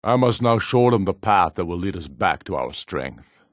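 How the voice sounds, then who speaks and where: An actor playing a proud, commanding middle-aged rebel jarl in a fantasy video game, speaking in solemn, imperious, measured oratory. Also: male voice, deep voice, lively intonation, no room sound, studio quality. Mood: fervent, defiant.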